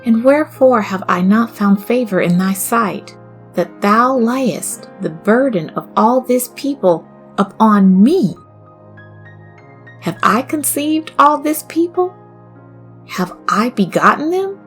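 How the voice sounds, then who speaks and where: A woman acts out a burdened prophet in an audio scripture, pleading with emphatic, declamatory force. The words come slowly and are raised in pitch and weight, with music underneath. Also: expressive. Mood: anguished, indignant. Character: Moses, burdened male leader pleading in anguish.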